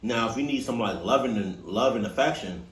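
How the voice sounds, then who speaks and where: Young male reaction host, lively and jokey, roomy sound. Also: mid-range voice, fairly quick. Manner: joking, teasing, animated asides. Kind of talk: casual reaction commentary.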